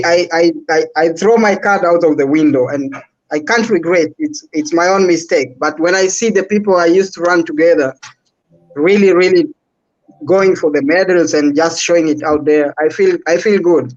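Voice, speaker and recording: A man's voice: on the high side, moderate pace. A man with an East African accent chatting casually as a guest on a live stream, over a dull online call. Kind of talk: casual chat.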